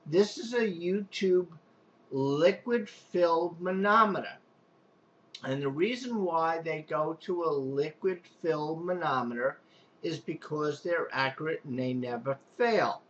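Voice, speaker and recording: A man's voice: a little high, a little slow. Rambling Long Island repairman, dull lo-fi sound. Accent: Long Island New York. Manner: rambling, loose, with many restarts and fillers. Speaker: pool and spa technician. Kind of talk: unscripted how-to demonstration.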